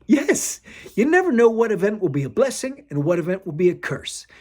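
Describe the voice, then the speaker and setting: A man's voice: high voice, big pitch swings, fairly quick, darkish tone, voice pushed up. A man voicing Chang, an earnest young soldier musing about luck. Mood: reflective.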